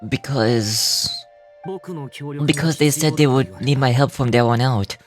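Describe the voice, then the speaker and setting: A woman's voice: a bass voice, unhurried, soft background music, voice pushed down. A woman voicing an earnest, deferential young man in game dialogue, polite and apologetic. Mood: meek, confessing.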